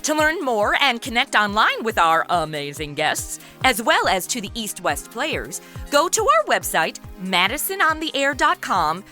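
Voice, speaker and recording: A woman reads a scripted podcast announcement in a high, bright voice. Her pitch moves in a lively way as she goes. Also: a little slow. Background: music.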